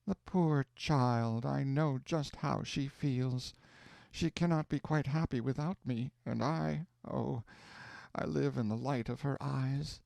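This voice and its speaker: A man voicing a gravely ill mother who speaks tenderly and wistfully of her daughter. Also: mid-range voice, slow pace, a little mellow, no echo, pitched above the performer's own voice.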